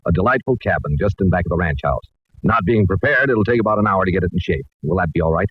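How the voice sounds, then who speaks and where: An actor playing a businesslike, touchy ranch owner in a 1940s radio drama, talking in a brusque, hurried way. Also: male voice, low-pitched, animated, husky, no room sound. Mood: accommodating. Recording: dull 1940s radio recording.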